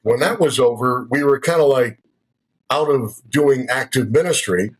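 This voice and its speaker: A man in his sixties with a somewhat husky voice recounts his life story as a guest. He speaks reflectively and unhurriedly, a former radio broadcaster settling into his memories. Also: mid-range voice, moderate pace, poor audio. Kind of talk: personal testimony in conversation.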